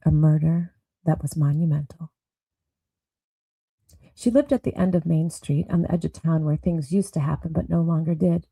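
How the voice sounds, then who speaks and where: Dark, husky woman narrating noir. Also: low voice, normal speed, long pauses, dry recording, rough recording. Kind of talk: dramatic noir audio story narration.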